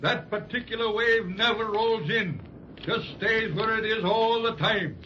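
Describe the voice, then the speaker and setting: A man's voice: sits high, measured pace, pushed and bright. A man playing a cocky, stubborn roly-poly policeman with a teasing manner in a 1930s radio serial. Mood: smug, teasing. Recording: dull, noisy 1930s radio recording.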